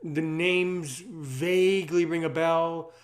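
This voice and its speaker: A man's voice: on the high side, fairly even intonation, slow. A man of thirty hosting a casual interview, eager and often repeating his words for emphasis. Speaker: interview show host.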